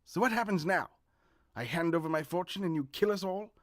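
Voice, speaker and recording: An actor playing a hapless, self-absorbed wealthy gentleman in a comedic mystery audio drama. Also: high-pitched, a little fast, no echo, studio quality, close to an everyday voice. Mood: bewildered. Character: foppish wealthy male host, hapless, self-absorbed, flustered.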